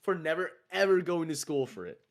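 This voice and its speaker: A man whose first language is Cantonese, chatting loosely with friends on a podcast. His pitch sits a little high and swings around a lot as he talks. He is animated and self-mocking, and he laughs often. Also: a little fast. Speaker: podcast co-host. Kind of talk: casual chat among friends.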